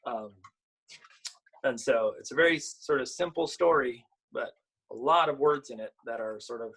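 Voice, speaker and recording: Slightly husky man, informal storytime presenter. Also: mid-range voice, a bit bright. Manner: informal, halting when chatting. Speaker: picture book author and illustrator. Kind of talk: virtual storytime, reading aloud and chatting about the books.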